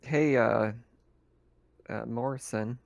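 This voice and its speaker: A man's voice: mid-range voice, fairly even intonation, slow, gravelly, dry recording. A man chatting casually as a player in a tabletop game.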